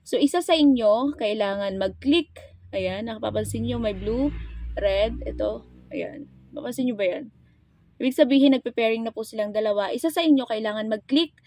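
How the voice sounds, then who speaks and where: A woman's voice: a little high, normal speed, a little mellow, no room sound. A woman with a Filipino accent giving a casual hands-on product tutorial as a vlogger, chatty and informal, speaking straight to her viewers. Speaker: vlogger and motorcycle gear seller demonstrating products.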